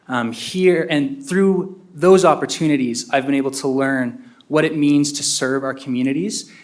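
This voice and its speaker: A man from Utah, a city council candidate giving a fluent, polite prepared statement at a podium microphone in a council chamber. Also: medium pitch. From Utah, USA. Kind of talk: prepared statement at a public meeting.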